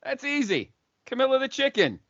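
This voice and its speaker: A man plays a joking, flattering store clerk in a comic audio play. His voice is bright and animated, recorded dry with a slightly dull sound. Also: very high-pitched, normal speed, dry recording, full character voice. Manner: flattering, joking, profane among friends. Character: deferential, wisecracking male video store clerk.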